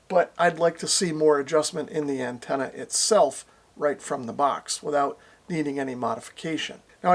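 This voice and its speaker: A middle-aged American man giving a casual product review to camera as a radio reviewer. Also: on the high side. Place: small indoor workshop at a radio bench.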